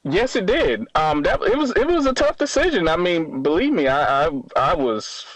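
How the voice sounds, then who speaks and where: A man in his thirties calling a radio show, answering haltingly and defensively with stammered restarts. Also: sits high, sing-song, fairly bright tone, rough recording. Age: 30s. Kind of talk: spontaneous phone-in conversation.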